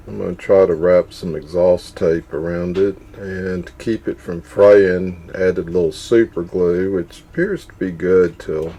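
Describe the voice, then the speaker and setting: A man's voice: deep voice, mellow. A man narrating his own boat engine repair in a casual, matter-of-fact way, sometimes muttering to himself. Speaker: boat owner doing repairs.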